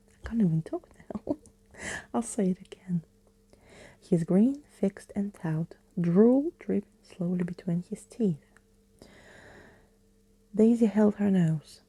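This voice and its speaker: A woman reading a story aloud as ASMR, hushed and often slipping into a whisper, close to the mic. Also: fairly low pitch, vocal fry, no room sound.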